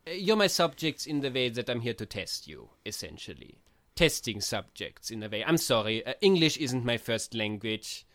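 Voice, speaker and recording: A man playing a polite male psychiatrist superhero with a floating skull, speaking with an Austrian accent, earnest and halting with many hesitations. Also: medium pitch, big pitch swings, a bit bright, dry recording.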